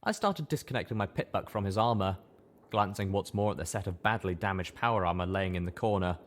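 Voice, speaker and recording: A man's voice: low voice, brisk. A man reading scripted first-person fiction as a dramatic audiobook narrator.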